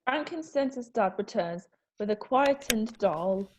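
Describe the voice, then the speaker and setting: A woman's voice: a little high, animated, takes it slowly, background noise. A woman reading the stage directions aloud in a plain, matter-of-fact way at an amateur online play reading.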